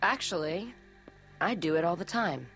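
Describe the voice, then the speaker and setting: An actress playing a calm, detached survivor in a dramatic video game, with a matter-of-fact delivery. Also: female voice, lowish voice, normal speed, soft background music. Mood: calm, assured. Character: calm, detached adult female survivor of walker herds.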